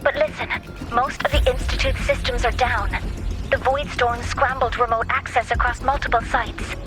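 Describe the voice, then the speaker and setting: Actress as a high-voiced, composed female professor. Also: fairly even intonation, moderate pace, natural voice, rough recording. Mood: composed concern. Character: composed, concerned female professor giving briefings. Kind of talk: video game voice acting. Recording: loud music behind the voice.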